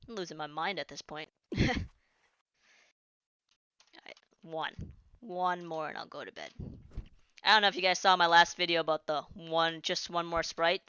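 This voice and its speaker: A woman's voice: lowish voice, bright, forceful tone, smooth, dry recording. A woman chatting casually during a live game stream, rambling and restarting sentences, her voice starting to tire. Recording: dull lo-fi stream audio.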